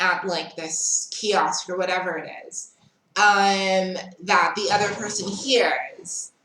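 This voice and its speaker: A woman's voice: mid-range voice, fairly bright tone. A young South African woman telling a story in a casual vlog, chatty, frank and unbothered. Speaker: vlogger. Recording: echoey room.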